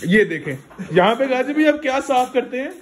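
A man's voice: very high voice, sing-song, fairly quick. A young man in his twenties chatting casually to his viewers on a handheld phone, calling them brother. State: sore ears after a cold bath. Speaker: vlogger. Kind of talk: casual vlog chat. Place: outdoors in a busy lane and small shops. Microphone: phone held at arm's length. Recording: loud street noise behind.

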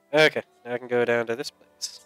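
A man's voice: mid-range voice, lively intonation, normal speed, creaky, a bit bright, smooth. A man hosting a gaming video with casual, jokey commentary, muttering to himself and exclaiming. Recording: game music behind the voice. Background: video game music.

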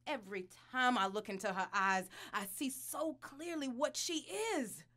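Audio drama wife, bitter and devout, intense delivery, higher forceful voice. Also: female voice, lively intonation. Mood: bitter, incensed. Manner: intense, accusing, deliberate. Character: bitter, anguished wife and reluctant adoptive mother, devout. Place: small recording studio. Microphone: studio condenser mic.

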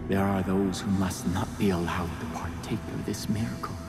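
An actor playing a middle-aged, fervent cult prophet and father in a video game, preaching in a measured, solemn manner over music. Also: sits low, steady tone. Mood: solemn, hushed. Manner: slow, measured, biblical preaching. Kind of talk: dramatic game cutscene acting, preaching. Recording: music under the voice.

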